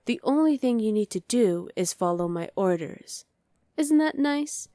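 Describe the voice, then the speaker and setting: A woman's voice: on the high side, lively intonation, a little slow, warm tone, no echo, studio quality. A woman voicing a dominant, haughty evil queen in an ASMR roleplay, imperious, sly and condescending. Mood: smug, commanding.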